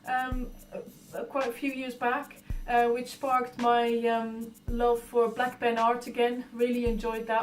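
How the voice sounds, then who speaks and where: A Dutch-accented woman in her early thirties speaks in a fairly high voice, set back from the microphone in a roomy space. She talks informally about herself, pausing often to find the next thought. Music plays clearly behind her. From Netherlands. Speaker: artist being profiled. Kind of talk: informal talking about own life and art. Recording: roomy echo, distant mic, music behind.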